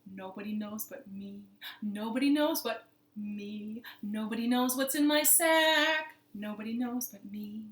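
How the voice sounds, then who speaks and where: Sly male fox for a folktale, voiced by a woman. Smug, lilting delivery, highish pitch, roomy sound. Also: very animated, measured pace, hardly any pauses, a bit bright, exaggerated voice. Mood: sing-song. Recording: roomy, echoing room sound.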